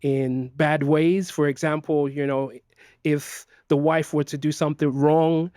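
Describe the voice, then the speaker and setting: A man's voice: on the high side, level tone, a little slow, dark tone, smooth, no room sound, clean studio sound. Hesitant Nigerian-raised man, filler-heavy. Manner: hesitant, many fillers and restarts. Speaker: guest. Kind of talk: personal interview.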